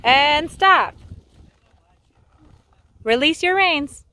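A woman coaching a horse rider in an outdoor arena, upbeat and teasing, quick to praise. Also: sits high, expressive, pushed and bright, no echo. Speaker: riding instructor.

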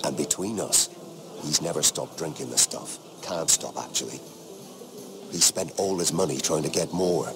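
Very low-voiced, confiding lord. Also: male voice, normal speed, creaky, background noise. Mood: confiding, wary. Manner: courteous, confiding. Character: discreet, gossiping middle-aged lord, courteous but wary. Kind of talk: dramatic fantasy game acting.

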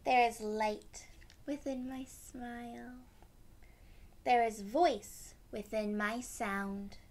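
A young woman reads a picture book to small children at an unhurried pace, with gentle, simple explanations. Her voice sits fairly high and has a creaky edge. She is recorded in a room at home. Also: fairly even intonation. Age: young adult. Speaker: read-aloud storyteller for children.

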